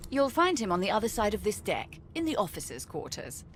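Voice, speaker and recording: Woman playing a smug, mock-sweet female jailer, swooping pitch. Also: sing-song, brisk, lightly acted. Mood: breezy, smug. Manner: patronising, teasing, mock-sweet. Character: smug, condescending female prison-ship magister, mockingly sweet jailer. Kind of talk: video game voice acting.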